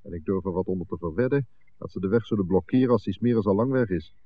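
A man's voice: low-pitched, fast talker, no room sound. An actor playing a wary, menacing male crook who leads his mates, talking bluntly in a Dutch radio drama on an old narrow-band recording. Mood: wary, brooding.

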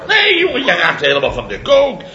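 A man's voice: high-pitched, wildly swooping pitch, brisk, sharp tone, some chatter behind, slight background noise, exaggerated voice. An actor playing a blustering male company boss who laughs through his lines, in 1960s radio comedy style, on a lo-fi old radio recording with audience laughter in a roomy hall. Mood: teasing. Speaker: boss of a building firm.

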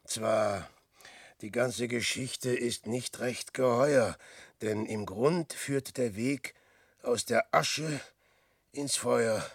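An actor in a classic German radio play, playing an aging, despairing wanderer who speaks slow, declamatory verse. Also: male voice, medium pitch, husky, no room sound, studio quality, heavily acted. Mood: musing. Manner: slow, declamatory verse, swinging from loud outbursts to hushed murmurs. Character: Peer, an aging despairing wanderer facing death.

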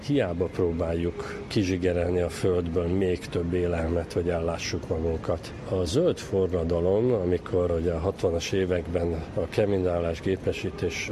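A man's voice: low-pitched, background noise. A man speaking as an expert in an interview, arguing in an emphatic, warning manner. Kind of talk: argumentative spoken interview.

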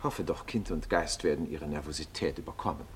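An actor playing a haughty, condescending duke in a German radio play, with pompous delivery. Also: male voice, a bass voice, a little fast, slight background noise. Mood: imperious.

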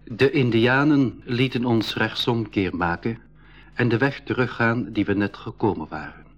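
An actor narrating a radio play for schoolchildren as a man recounting his jungle adventure, with a husky voice and descriptive delivery. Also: mid-range voice, moderate pace, soft background music, lightly acted. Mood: grave storytelling. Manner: descriptive storyteller addressing young listeners. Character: male expedition member recounting a jungle adventure. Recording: dull 1980s radio recording.

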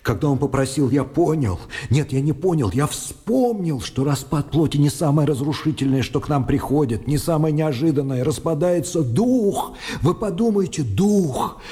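A man's voice: fairly high pitch, expressive, normal speed, dark tone, lightly acted. An actor playing an educated, ashamed man who confesses earnestly and insistently in a radio drama. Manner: earnest, insistent, repeating himself. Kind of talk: Russian radio drama acting.

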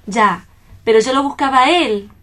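A woman's voice: high voice, normal speed, bright, forceful tone. A woman acting in a radio play as an earnest, tender, troubled friend, pleading expressively. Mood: puzzled, hurt.

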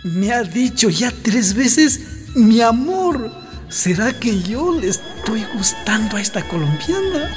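Radio drama role: smitten young Ecuadorian fellow, kindly, hesitant, wide-swinging intonation, music underneath. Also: male voice, very high voice, sing-song, slow pace, heavily acted. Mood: shy, curious.

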